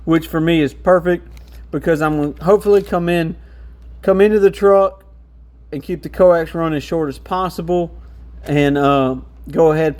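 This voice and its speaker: A man's voice: a little high, darkish tone. A man giving a casual, hands-on product walkthrough in an informal, explanatory way. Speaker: radio shop reviewer.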